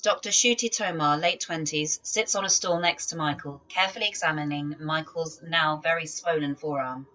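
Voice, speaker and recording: A woman reads stage directions aloud for a drama table read. Her voice is a little low, and her scripted narration is measured and descriptive. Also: sharp tone, rough recording.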